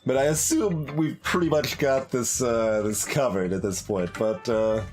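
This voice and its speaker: A man's voice: medium pitch, unhurried, darkish tone. A man giving casual, hesitant, rambling live commentary as he plays a game, thinking aloud. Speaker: streamer playing a visual novel. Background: game music.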